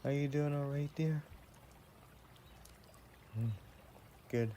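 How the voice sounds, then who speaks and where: A man playing an affectionate, playful vampire boyfriend in an intimate roleplay audio, chatting in a gentle, teasing way. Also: fairly high pitch, steady tone, slow, a little hiss or hum. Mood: tender, caring.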